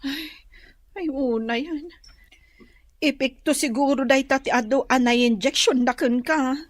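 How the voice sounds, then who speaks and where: A woman acting as a brisk, excitable wife with a high voice. She has an Ilocano accent and sounds close and dry, in radio drama style. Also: dry recording. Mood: dismissive.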